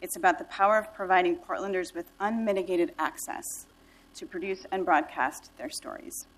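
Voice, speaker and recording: A woman's voice: fairly low pitch, steady tone, normal speed, slight background noise, rough recording. A woman giving a formal, scripted introduction as a nonprofit director in a council chamber. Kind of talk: prepared introduction at a council meeting.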